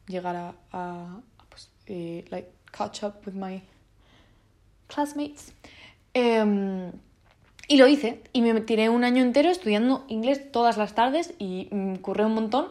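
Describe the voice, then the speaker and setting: A woman's voice: medium pitch, moderate pace, darkish tone. A nineteen-year-old woman from Spain with a Spanish accent, talking in a casual vlog with many restarts and fillers and lots of slang. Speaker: vlogger.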